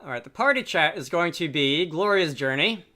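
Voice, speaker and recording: A man's voice: on the high side, lively intonation, moderate pace, bright, forceful tone. A man giving casual game commentary and reading dialogue aloud, with dry, joking asides. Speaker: let's play video creator. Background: game music.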